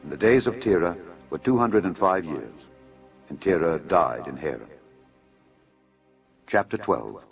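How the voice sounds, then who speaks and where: Deep-voiced man solemnly reading scripture. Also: expressive, a little slow, vocal fry, long pauses. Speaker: narrator of a dramatised audio Bible. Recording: dull lo-fi audio under music. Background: music.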